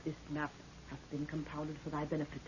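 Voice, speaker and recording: A woman's voice: sits low, completely flat delivery, a little fast, close to an everyday voice. An actress playing a proud, commanding queen with solemn archaic speech in an old radio drama. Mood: grave, stately. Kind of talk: 1930s radio drama acting. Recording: dull, noisy 1930s radio recording.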